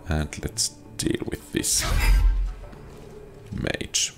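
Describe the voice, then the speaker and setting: A man's voice: very slow, a bit bright. A man casually talking through his play of a role-playing game, thinking aloud with dry understatement. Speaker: gameplay video host. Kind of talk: casual commentary over gameplay. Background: game music.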